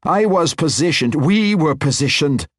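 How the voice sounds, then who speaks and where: A man voicing an imperious female dark elf matriarch, sharp and demanding. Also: medium pitch, very animated, a little slow, breathy edge, no echo, clean studio sound, pitched above the performer's own voice. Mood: commanding. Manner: sharp, demanding, raised intensity.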